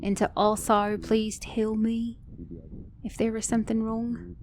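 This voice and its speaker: A woman's voice: fairly high pitch, little pitch movement, a little slow, a little mellow, slight background noise. A woman with an Australian or British accent playing a sassy, caring mechanic girlfriend in an ASMR roleplay, speaking emphatically and affectionately to a partner. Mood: soft, caring. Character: sassy, caring female mechanic girlfriend, scolding then tender. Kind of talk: ASMR roleplay audio drama.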